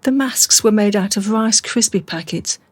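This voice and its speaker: A composed, rational woman held captive, played by an actress in a radio drama. Her delivery is measured and precise, with a cool, detached logic. The voice sits at a middle pitch in a very dry recording. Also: dry recording, close to an everyday voice. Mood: guarded. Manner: measured, matter-of-fact, precise.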